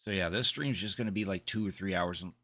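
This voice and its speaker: A man with a fairly low voice and a bright, forward edge, hosting a game livestream. He talks in a casual, rambling way, full of conversational asides. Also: quick pace. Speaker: game live streamer, host.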